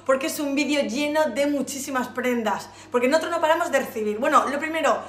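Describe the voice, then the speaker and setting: A hoarse woman in her thirties, from Spain, chatting enthusiastically to viewers as she shows off new clothes from her shop. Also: high-pitched, fast, slight background noise. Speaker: clothing shop owner presenting new stock. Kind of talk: casual fashion try-on haul. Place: bright indoor shop space by a staircase. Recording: echoey room.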